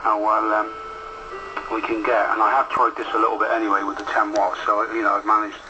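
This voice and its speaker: Casting: male radio ham, low monotone voice, rambling and matter-of-fact, coming through a two-way radio. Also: fairly quick, lo-fi sound. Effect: heard over a two-way radio. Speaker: amateur radio operator. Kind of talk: casual radio contact chat. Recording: noisy two-way radio transmission through a speaker.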